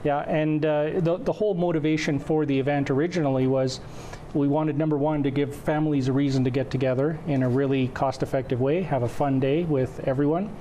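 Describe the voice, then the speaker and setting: A man's voice: a little high, fairly even intonation, brisk, mellow, a little hiss or hum. A man in his forties from western Canada whose first language is Croatian, speaking as an event organizer in an informal TV interview. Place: indoor TV studio. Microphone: lapel mic.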